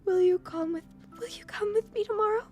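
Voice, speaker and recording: A woman's voice: moderate pace, gravelly, a little mellow, faint music behind. A woman voicing a proud but scared teenage girl from a visual novel, raw and emotional. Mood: tentative. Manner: raw, emotional, high-pitched outbursts and pleading. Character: wounded, fiery teenage girl, proud yet scared and vulnerable.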